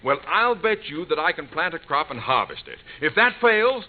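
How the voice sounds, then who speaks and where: An American actor playing a middle-aged, stubborn, excitable father who blusters and repeats himself in an old radio comedy. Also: fairly high pitch, moderate pace. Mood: stubborn, defensive. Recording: tinny, noisy vintage radio recording.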